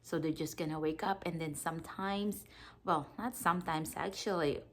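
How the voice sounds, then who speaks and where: A woman's voice: deep voice, steady tone, moderate pace, fairly bright tone, slight background noise. A woman in her thirties from the Philippines, chatting casually to camera in a rambling way, with many fillers and restarts. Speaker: vlogger.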